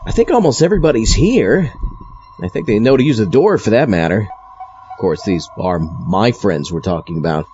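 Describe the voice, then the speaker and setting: A man's voice: on the low side, expressive, moderate pace, dull audio, noisy background, lightly acted. A man playing a genial, wry party host with a casual manner in a comedic audio drama. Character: genial, wry male party host among oddball friends.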